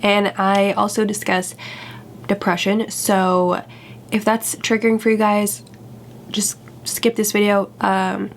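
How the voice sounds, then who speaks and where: A woman's voice: medium pitch, flat delivery, takes it slowly, a little hiss or hum. A young woman vlogger sounding congested from a cold, talking in a chatty, candid, self-deprecating way. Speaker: YouTuber. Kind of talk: casual vlog chat.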